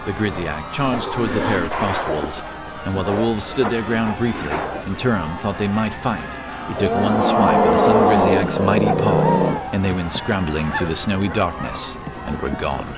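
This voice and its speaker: A man delivers scripted fantasy narration. His voice is very low in pitch. Prominent music and effects run behind the storytelling. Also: moderate pace.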